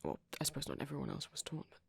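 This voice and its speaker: A woman voicing a shy, gentle young male vampire boyfriend in an ASMR roleplay, speaking breathily and hesitantly with small stammers. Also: sits low, big pitch swings, racing, slightly rough, no room sound, exaggerated voice. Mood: wistful, hesitant. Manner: hesitant, stammering, softly whispery. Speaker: audio roleplay performer.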